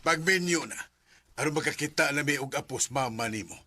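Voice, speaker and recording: A man's voice: fairly high pitch, fairly quick, lightly acted. A man with a Filipino Cebuano accent playing an insistent villager in a Bisaya radio drama. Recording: very noisy, with clicks.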